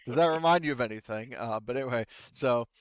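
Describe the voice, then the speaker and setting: A man's voice: medium pitch, clear, resonant tone, lo-fi sound. A man game-mastering a tabletop roleplaying game in a casual, rambling way, often correcting himself midway through a thought. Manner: halting, rambling, self-correcting. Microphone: online voice call.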